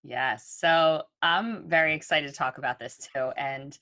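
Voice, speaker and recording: A woman's voice: low-pitched, measured pace, pushed and bright, no echo. A woman co-hosting a podcast, talking earnestly and reflectively with frequent ums. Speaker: podcast co-host. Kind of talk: conversational podcast talk.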